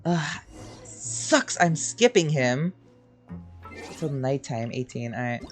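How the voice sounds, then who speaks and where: A game streamer with a very lively, swinging voice chats casually over a playthrough. The delivery is expressive and slangy, with faint music in the background. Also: medium pitch, slow pace. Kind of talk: casual gameplay reaction chat.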